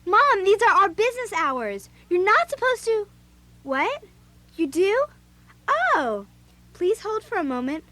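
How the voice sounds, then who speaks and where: A woman's voice: very high-pitched, very animated, slow, sharp tone, exaggerated voice. A young female actor playing a spirited, outspoken girl babysitter in an audio drama, narrating and speaking with youthful emphasis. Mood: excited. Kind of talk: first-person audio drama narration and dialogue.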